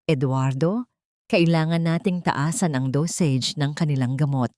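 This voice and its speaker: A scheming lady doctor in an audio drama, acted out by a woman narrator, measured and falsely caring. The voice is mellow and lowish, recorded very dry. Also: dry recording. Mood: grave concern. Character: scheming woman doctor feigning gentle concern.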